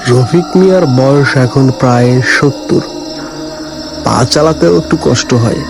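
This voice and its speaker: A man's voice: medium pitch, measured pace, gravelly, over music, poor audio. A man narrating a scripted ghost story as a storyteller.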